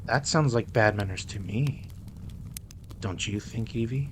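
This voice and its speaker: A man's voice: lowish voice, a little slow, close to an everyday voice. Man acting as a commanding mafia boss, noisy recording. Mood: teasing, dominant. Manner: teasing, commanding, slips into Spanish endearments. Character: dominant, teasing male mafia boss, indignant yet protective. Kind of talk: roleplay audio drama acting.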